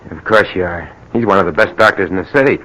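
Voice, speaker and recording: An actor playing an anxious, devoted husband in his thirties in a 1940s radio drama, earnest and urgent, sometimes halting. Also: low-pitched, bright, forceful tone, poor audio. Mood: evasive, reassuring. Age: 30s. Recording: old radio drama broadcast.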